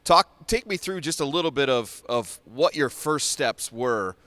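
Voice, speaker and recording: A man with a mid-pitched voice interviewing someone about sports. He asks questions in a sit-down setting, and the sound is dry with little room in it. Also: animated, measured pace, sharp tone, dry recording.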